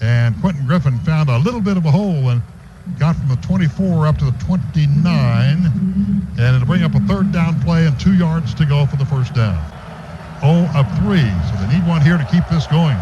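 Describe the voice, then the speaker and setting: An American man with a fairly high voice does play-by-play for a football game on the radio. He draws words out as plays develop. The sound is dull, with clear stadium noise behind him. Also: lively intonation, moderate pace. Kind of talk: live American football commentary.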